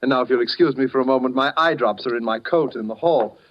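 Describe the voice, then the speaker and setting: Man playing a suave, menacing gentleman criminal, old radio. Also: mid-range voice, fast, natural voice. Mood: regretful menace. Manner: polite, apologetic, silkily menacing. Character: suave, courteous, cunning male master criminal posing as director. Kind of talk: 1940s radio suspense acting. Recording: dull, lo-fi 1940s radio broadcast.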